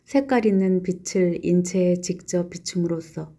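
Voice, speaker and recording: A woman reads aloud from a nonfiction book in a calm, gentle, steady way. Her voice sits a little low and sounds mellow and warm, never sharp. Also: moderate pace. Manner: calm, gentle, even delivery.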